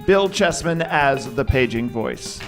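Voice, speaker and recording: A man's voice: medium pitch, animated, slow pace, vocal fry. A man announcing scripted credits for a radio drama as its announcer. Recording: live theater, music and audience behind. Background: music and crowd.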